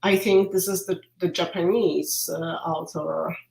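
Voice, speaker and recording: A woman's voice: on the low side, steady tone, a little slow, vocal fry, a little mellow. A woman with a Polish accent, a literature professor explaining her research over a video call in a thoughtful way with small hesitations. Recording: roomy video call audio.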